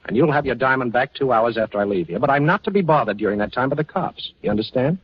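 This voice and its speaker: Fast-talking, husky wisecracking adventurer. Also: male voice, medium pitch, expressive, no room sound. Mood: smug. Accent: American. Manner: wry, breezy, self-assured. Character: cocky, quick-witted wisecracking male adventurer. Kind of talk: 1940s radio crime drama acting. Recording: muffled 1940s radio recording.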